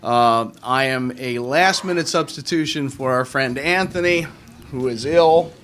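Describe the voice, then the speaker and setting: A man's voice: medium pitch, measured pace, a bit bright. A man hosting a convention panel informally, with a joking, self-deprecating manner. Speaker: panel host. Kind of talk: informal welcome and moderating.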